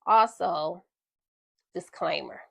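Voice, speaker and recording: A woman's voice: on the low side, takes it slowly, vocal fry, a little hiss or hum. A congested woman with a slightly stuffy voice, hosting a frank storytime podcast and addressing listeners directly. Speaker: podcast host. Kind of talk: storytime monologue.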